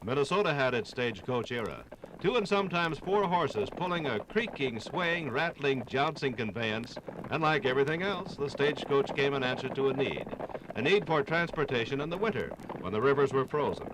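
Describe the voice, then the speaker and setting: A man narrating a scripted 1950s radio documentary with dramatic, storytelling phrasing and deliberate pauses. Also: medium pitch, lively intonation, noisy background.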